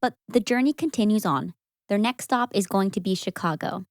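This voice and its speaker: A young woman co-hosting a podcast, telling a story in a casual, animated way with frequent laughs. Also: mid-range voice, moderate pace, no room sound, clean studio sound. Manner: animated, laughs often, acts out quoted voices.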